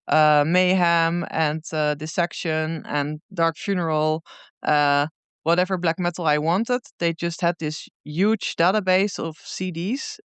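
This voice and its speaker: Dutch woman answering, crisp clear voice. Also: on the low side, no room sound. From Netherlands. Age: 30s. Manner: thoughtful, unhurried, many pauses. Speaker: guest musician. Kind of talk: casual interview answers.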